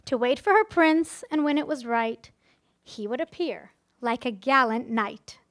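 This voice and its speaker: Bright, high-pitched woman giving a playful speech. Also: unhurried. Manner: playful, theatrical storytelling delivery. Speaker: wedding speech giver, friend of the bride. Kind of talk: wedding speech, partly a rhyming storybook read aloud. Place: live in front of wedding guests.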